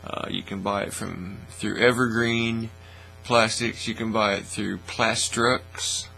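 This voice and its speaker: A man hosting a casual hands-on hobby demonstration in a folksy, informal, unhurried way, recorded in a small indoor workshop studio. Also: lowish voice, gravelly, soft background music. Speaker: host of a hobby workbench channel. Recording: steady mains hum, faint noise.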